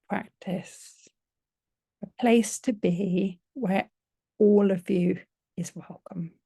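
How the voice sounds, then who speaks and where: A woman teaching yoga nidra, leading a guided relaxation in a gentle, soothing, unhurried manner with long pauses. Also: mid-range voice, creaky, husky, no echo.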